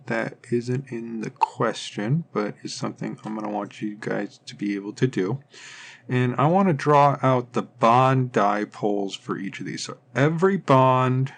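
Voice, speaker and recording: A man's voice: mid-range voice, vocal fry. A man teaching chemistry in an informal, step-by-step lecture style, methodically thinking aloud as he works through things.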